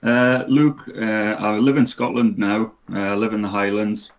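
A man in his thirties talks as a podcast guest, hesitating with frequent ums and uhs. His voice sits low, and his pitch stays fairly flat as he speaks. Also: a little slow. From Newcastle, England. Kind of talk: casual interview.

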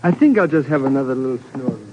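An actor playing a brash, slangy comic rogue of a sidekick in a 1950s radio drama. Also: male voice, mid-range voice, animated, quick pace, close to an everyday voice. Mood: eager, tipsy relish. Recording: muffled, noisy 1950s radio recording.

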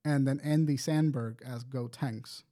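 A man's voice: medium pitch, steady tone, unhurried, warm tone. A man co-hosting a podcast, chatting casually and swearing, easily amused. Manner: profane, easily amused banter. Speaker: podcast host. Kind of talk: casual chat.

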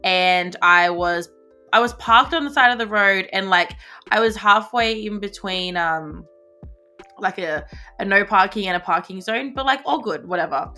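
A young woman with an Australian accent, co-hosting a podcast and telling a story in casual, animated chat full of fillers and swearing. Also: medium pitch, moderate pace, faint music behind. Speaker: podcast co-host.

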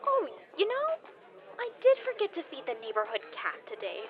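A woman's voice: very high voice, huge pitch swings, moderate pace, exaggerated voice. A woman acting as a dazed, laid-back young caller in an audio comedy drama, hesitant and slangy on a muffled phone line. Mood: dazed, uneasy. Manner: spacey, hesitant, slangy drawl. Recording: muffled phone call, background crowd chatter.

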